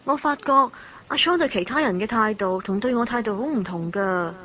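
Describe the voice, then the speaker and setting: An actress playing a curious, cheeky young student in a radio drama, with a Hong Kong Cantonese accent. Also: female voice, medium pitch, expressive, a little fast, a bit bright, slight background noise, close to an everyday voice. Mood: excited, puzzled. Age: young adult. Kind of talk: radio drama narration and dialogue. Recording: dull lo-fi audio.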